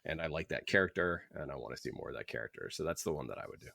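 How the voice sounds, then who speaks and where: A man's voice: very deep voice, lively intonation, rapid-fire, gravelly, bright, forceful tone, no room sound. A man co-hosting a podcast, chatting casually and enthusiastically about books. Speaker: podcast co-host. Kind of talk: casual book club podcast chat.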